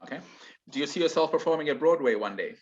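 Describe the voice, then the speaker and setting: A man hosting an interview, asking questions in a measured, halting way. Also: on the high side, lively intonation, husky. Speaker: interviewer host. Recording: muffled lo-fi audio.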